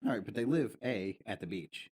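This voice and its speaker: A man's voice: on the low side, expressive, brisk, a little mellow, slightly rough. A man co-hosting a casual podcast who cuts in with crude jokes and lots of swearing. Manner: crude, joking interjections with heavy swearing. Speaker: podcast co-host.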